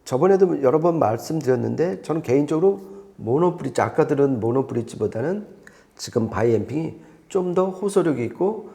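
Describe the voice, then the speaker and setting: A man's voice: on the high side, lively intonation, brisk, vocal fry, warm tone. A man speaking as an audio expert, explaining things conversationally and step by step, often repeating points for clarity. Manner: didactic, explains step by step with repetition.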